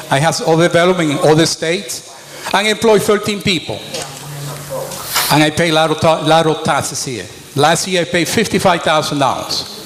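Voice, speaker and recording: Middle-aged dominican-born guy, chatty storytelling, slightly high voice, reverb-y hall. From Dominican Republic. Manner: plainspoken, conversational storytelling. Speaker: mayoral candidate. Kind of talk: debate opening statement. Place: school auditorium.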